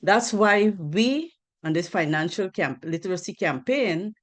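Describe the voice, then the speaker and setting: A woman's voice: fairly low pitch, expressive, unhurried. A woman from Guyana giving a financial literacy presentation on a group video call, earnest, asking rhetorical questions and repeating key points.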